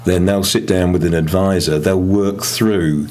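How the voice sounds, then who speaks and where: A man's voice: low voice, fairly even intonation, measured pace, a little mellow, a little hiss or hum. A man with a British accent giving a matter-of-fact, methodical explanation in an interview as a volunteer. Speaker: food bank volunteer, interviewee.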